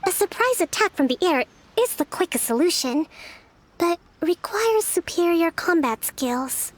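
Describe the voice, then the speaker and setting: A woman playing a gentle, confident young female leader in a video game, speaking in a measured, articulate, formal yet friendly way. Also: extremely high pitch, lively intonation. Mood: confident, encouraging. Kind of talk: video game character dialogue.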